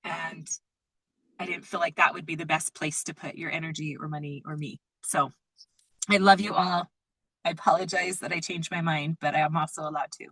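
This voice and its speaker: A woman's voice: low voice, fairly even intonation, moderate pace, fairly bright tone, no room sound, rough recording. A woman teaching and leading a group call in a casual, chatty, conversational way.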